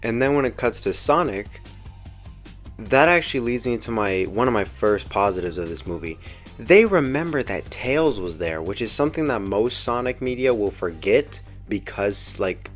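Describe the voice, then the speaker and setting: A man's voice: fairly low pitch, big pitch swings, normal speed. A young man giving casual, slangy movie commentary with the earnest enthusiasm of a fan. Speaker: video essay host reviewing a film. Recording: music behind the voice. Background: background music.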